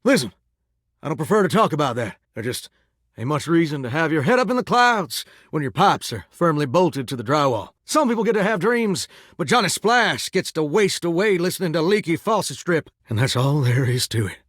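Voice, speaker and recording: A man's voice: a little high, sing-song, a little fast, a bit bright, dry recording, clean studio sound. A man playing a folksy, flirtatious talking shower in a video game, with a theatrical Southern US drawl and a wistful streak. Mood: wistful, resigned. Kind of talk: video game character acting.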